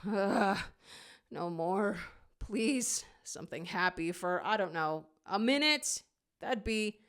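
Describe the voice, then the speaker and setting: A woman's voice: medium pitch, expressive, slow, fairly bright tone, clear, resonant tone. A woman voicing a proud, vain male jackal with a tender streak and grand, formal phrasing in an animal fan story. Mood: annoyed, groggy.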